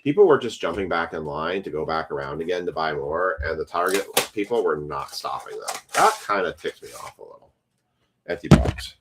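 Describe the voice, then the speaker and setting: Low-voiced man chatting while opening packs. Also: lively intonation, a little fast, very noisy. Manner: rambling, chatty, talks to few viewers. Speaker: card break streamer. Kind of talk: casual live-stream chat while opening packs.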